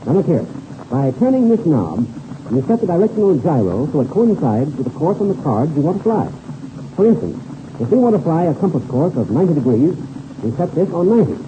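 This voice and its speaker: An actor playing a confident, hearty veteran pilot mentor in a 1930s radio serial, brisk, instructive and self-assured. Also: male voice, on the high side, very animated. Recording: dull, very noisy old radio recording.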